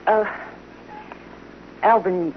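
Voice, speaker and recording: A woman's voice: medium pitch, steady tone, extremely slow. A woman playing a sorrowful, newly widowed frontier mother in an old radio western, hesitant and restrained. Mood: subdued sorrow. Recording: muffled, noisy old radio recording.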